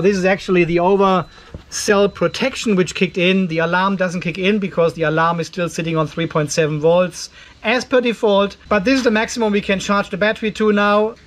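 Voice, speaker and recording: High-pitched middle-aged man explaining tech. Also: fairly even intonation, moderate pace, a bit bright. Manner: conversational, thinking aloud as he works. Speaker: host of an off-grid solar video channel. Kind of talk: casual hands-on tech demonstration, explaining aloud. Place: indoor garage workshop.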